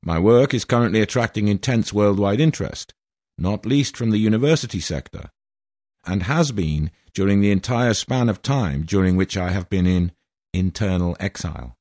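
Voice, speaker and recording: A man's voice: lowish voice, expressive, normal speed, a little mellow, no room sound, studio quality. A man in his sixties from Wales reading a formal, aggrieved statement in a grandiose manner. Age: 60s. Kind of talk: prepared statement read aloud.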